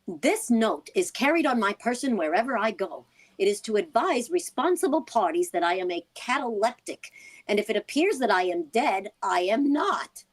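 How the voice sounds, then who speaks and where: High, animated, concerned housewife played by a woman. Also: normal speed. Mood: reading aloud, serious. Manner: earnest, inquisitive. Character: concerned, persistent housewife in a radio play. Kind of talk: vintage radio drama acting.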